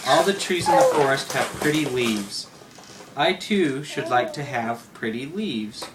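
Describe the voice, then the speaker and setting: A man's voice: medium pitch, lively intonation, measured pace, natural voice. Man voicing a wistful little pine tree, noisy recording. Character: wistful, vain little male pine tree, childlike wisher. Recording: very noisy home recording with clicks.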